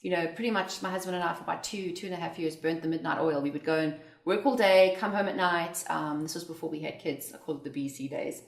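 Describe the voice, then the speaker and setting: A South African woman speaks quickly with a somewhat low voice and lively expression. She is a guest on an interview, recorded indoors in a room with a noticeable echo. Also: creaky. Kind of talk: conversational interview. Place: indoors at a home.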